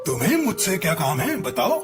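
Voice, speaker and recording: A woman's voice: sits low, huge pitch swings, brisk, over music. A woman voicing a wealthy male merchant bird in a cartoon moral story. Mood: brusque. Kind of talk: Hindi cartoon story acting.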